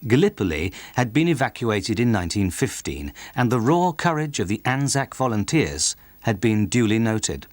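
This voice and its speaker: A man's voice: fairly low pitch, very animated, normal speed, few pauses, no echo. A man reading scripted narration for a documentary as its narrator.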